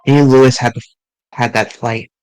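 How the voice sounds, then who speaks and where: A man streaming, reading visual novel dialogue aloud in an earnest, halting way with small restarts. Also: medium pitch, fairly even intonation, darkish tone, clean tone, dry recording, rough recording.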